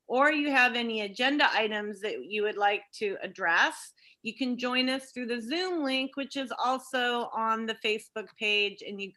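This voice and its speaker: A woman's voice: fairly high pitch, pushed and bright. A woman chairing a public meeting informally over a video call.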